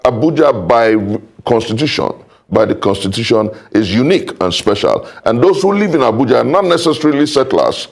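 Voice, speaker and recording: A Nigerian man speaks as a guest in a political debate. His voice is fairly high and noticeably creaky, and he argues in a formal, oratorical way, stressing phrases by repeating them. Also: big pitch swings. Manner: emphatic, formal, deliberate repetition.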